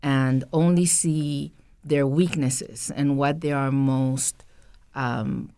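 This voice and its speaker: A middle-aged woman from Costa Rica, a public health dean, answering podcast interview questions in a measured, thoughtful way. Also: a bass voice, fairly even intonation, mellow, clean tone.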